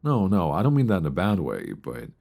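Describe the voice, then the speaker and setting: A man playing a tender, nervous longtime friend confessing love in an intimate ASMR romance roleplay, with a deep voice and hesitant, earnest delivery, close to a binaural mic. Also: huge pitch swings, creaky, exaggerated voice. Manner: hesitant, soft, earnest, with sighs and pauses. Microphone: close binaural microphone.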